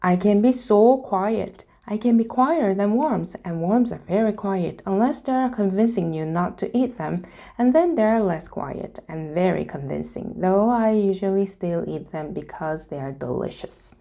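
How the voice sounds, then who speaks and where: A woman's voice: mid-range voice, lively intonation, normal speed, vocal fry, warm tone. A woman voicing a small young male dragon, chatty, needy and eager, in a chirpy, pleading manner for a storybook. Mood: boastful, eager.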